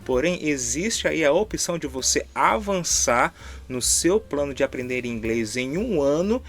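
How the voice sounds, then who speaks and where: A man's voice: fairly high pitch, lively intonation, measured pace, fairly bright tone. A middle-aged Brazilian man co-hosting in casual chat, speaking Brazilian Portuguese in an earnest, encouraging way. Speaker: co-host.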